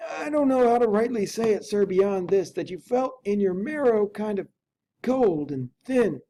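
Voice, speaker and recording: An anxious Victorian butler, acted out by a man reading aloud, pitched high for a man. He is earnest and deferential, with urgent, plain-spoken phrasing from a classic novel reading. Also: expressive, normal speed, dark tone, a little hiss or hum. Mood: uneasy. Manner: urgent, folksy, dramatic servant's speech.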